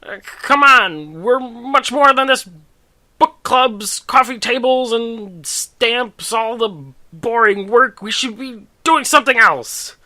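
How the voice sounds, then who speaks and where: A man's voice: very high voice, slow, bright, forceful tone, pitched above the performer's own voice, heavily acted. A man voicing a restless, fed-up male skeleton banker in a story, with prim, emphatic declarations. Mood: fed up, exclaiming.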